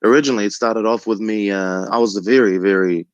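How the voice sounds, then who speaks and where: A man in his thirties from Egypt calls in to the show. He has a low voice and speaks earnestly with many ums. The line sounds dry and muffled. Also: fast talker, no room sound. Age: 30s. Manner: relaxed, earnest, laughs often, many ums. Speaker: caller. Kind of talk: casual phone call chat.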